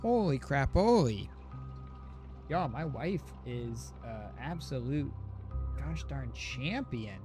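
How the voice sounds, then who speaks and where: A male game streamer reacting live in an informal, joking way. His voice is very animated and excitable in its delivery. Faint game music plays behind him. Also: medium pitch, slow pace. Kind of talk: casual live reaction commentary.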